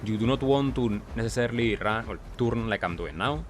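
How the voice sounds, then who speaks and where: Low-voiced man explaining gameplay, noisy background. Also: animated. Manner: halting, self-correcting, asks and answers own questions. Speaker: gamer, video commentator. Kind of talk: explanatory gameplay commentary, casual.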